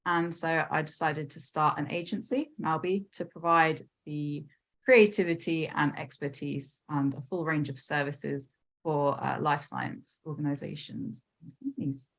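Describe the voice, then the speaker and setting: Low-pitched woman describing her work. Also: fairly even intonation, a little slow. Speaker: agency founder, panelist. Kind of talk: self-introduction at a webinar. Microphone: video call.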